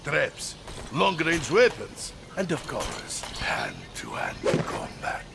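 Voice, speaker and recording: An actor playing a proud, menacing master hunter in a video game, with a gravelly, grandiose, commanding delivery. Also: male voice, a little high, huge pitch swings, slow, very noisy, heavily acted. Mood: boastful, menacing. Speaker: villain character in a video game. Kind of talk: video game cutscene and combat acting. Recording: loud game music behind voice. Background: loud game music.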